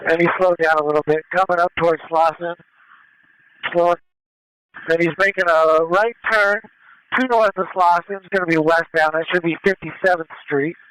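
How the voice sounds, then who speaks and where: A man calling a police pursuit over the radio, directing units in a measured, matter-of-fact way. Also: a little high, steady tone, fairly bright tone, clean tone, no room sound, rough recording. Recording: live police scanner radio transmission.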